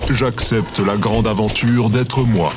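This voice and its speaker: Man playing a low, deadpan, pampered creature. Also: slow pace, very noisy. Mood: smug, languid. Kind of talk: audio fiction acting.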